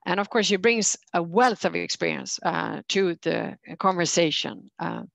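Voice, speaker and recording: A woman moderating an online panel and introducing a speaker in a halting way with frequent pauses. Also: lowish voice, creaky, fairly bright tone, dry recording. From Sweden. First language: Swedish.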